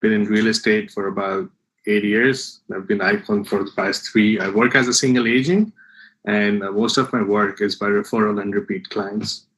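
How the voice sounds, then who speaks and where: A man from Canada introduces himself briefly and matter-of-factly. His voice is low. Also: flat delivery, moderate pace, a bit bright, slightly rough, rough recording. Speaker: panelist, realtor.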